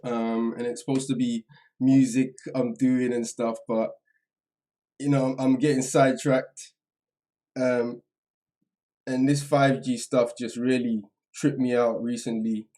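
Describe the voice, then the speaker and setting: A man hosting a live stream in casual, slangy chat, halting and trailing off as he goes, a little away from the microphone. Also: medium pitch, flat delivery, warm tone. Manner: informal, slangy address to viewers; halting, distracted, trailing off mid-sentence. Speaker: livestream host. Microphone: some distance from the microphone.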